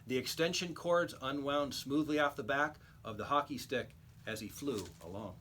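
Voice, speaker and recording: A man reading a children's storybook aloud like a teacher, with expressive, emphatic storytelling. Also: mid-range voice, normal speed, a little hiss or hum.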